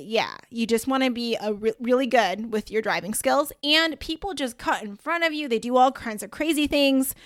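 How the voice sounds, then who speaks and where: A woman's voice: fairly high pitch, fairly bright tone, dry recording. Chatty woman, close and dry. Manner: chatty, upbeat, full of asides and slang. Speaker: podcast host. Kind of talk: casual solo podcast talk.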